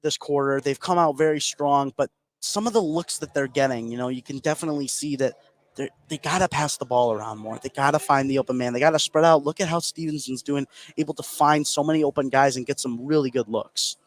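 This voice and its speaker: A man's voice: mid-range voice, fairly quick. A man working as a color commentator on a live basketball broadcast, giving opinionated analysis in a conversational way.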